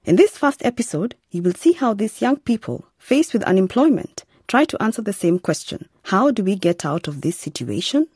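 A woman's voice: medium pitch, big pitch swings, normal speed, few pauses, a little mellow, slightly rough. A woman presenting as narrator of a radio series, reading from a script.

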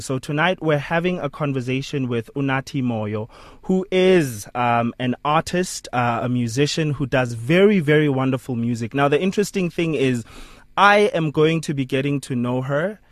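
A man's voice: medium pitch, normal speed, no echo. A man hosting a live evening radio interview show, chatty and joking with his guest, speaking. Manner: chatty, laughs often, jokes with guest. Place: radio studio.

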